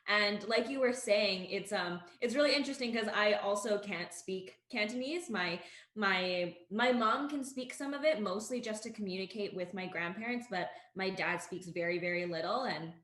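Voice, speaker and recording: A woman's voice: mid-range voice, moderate pace. Young woman co-host in an echoey room. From Canada. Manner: earnest storytelling, steady. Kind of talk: casual chat.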